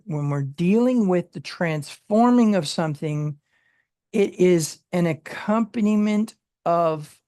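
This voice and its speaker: Slow, halting Bible study teacher. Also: medium pitch, darkish tone, dry recording, studio quality. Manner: halting, many fillers and restarts while working through word meanings.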